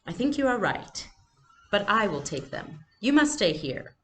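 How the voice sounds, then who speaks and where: A woman voicing a brave, calmly resolute storybook mother who reasons firmly. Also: mid-range voice, measured pace, a little hiss or hum, voice pushed up.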